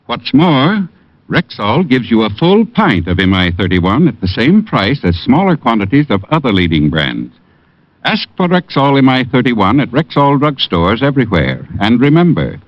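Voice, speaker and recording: A man gives a measured, earnest sales pitch for a 1950s radio sponsor. His pitch rises and falls freely through the read. The recording sounds dry and muffled, like an old broadcast transcription. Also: very animated, no echo. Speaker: commercial spokesman.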